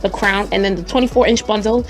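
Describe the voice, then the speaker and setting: Raspy-voiced chatty young woman vlogger. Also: mid-range voice, steady tone, fast. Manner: chatty, slangy, talks straight to viewers. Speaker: hair tutorial vlogger. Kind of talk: casual tutorial narration.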